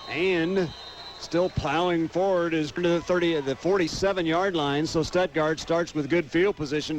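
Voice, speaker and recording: A man's voice: on the high side, slight background noise. An American man doing live radio play-by-play commentary of a football game.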